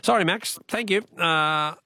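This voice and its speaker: A man's voice: high-pitched, a little slow, sharp tone, dry recording, clean studio sound. An Australian man hosting a live phone-in radio quiz, chatting with callers in a jokey, bantering way.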